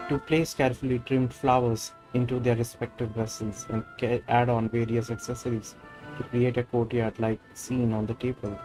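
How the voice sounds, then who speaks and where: A man's voice: mid-range voice, natural voice, low-quality recording. A man voicing a polite, gracious woman florist from a video game, formal and explanatory. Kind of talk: reading video game dialogue aloud. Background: game music.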